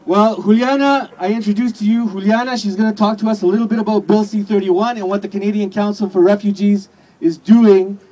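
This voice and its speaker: A man's voice: high voice, fairly even intonation, brisk, dark tone, poor audio. A man emceeing an outdoor rally, leading the crowd in chants and introducing a speaker. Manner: rousing, leads crowd chants. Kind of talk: outdoor rally call-and-response.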